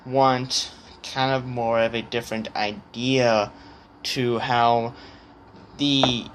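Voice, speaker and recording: A man thinking aloud in a casual opinion vlog, hesitant and halting, often stammering on the starts of words. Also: mid-range voice, flat delivery, fairly bright tone, slight background noise. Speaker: vlogger.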